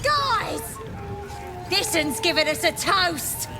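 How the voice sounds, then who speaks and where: A woman's voice: extremely high pitch, big pitch swings, takes it slowly, over music, exaggerated voice. A woman playing a boozy, mocking goblin reveller in a video game, rowdy and jeering. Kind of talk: video game character acting.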